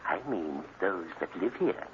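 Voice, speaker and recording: An actor playing an eccentric, eerie museum night watchman with slow, hushed, deliberate delivery in an old radio drama. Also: low voice, expressive, dull audio. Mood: eerie, solemn. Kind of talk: 1940s radio acting. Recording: noisy, band-limited old radio recording.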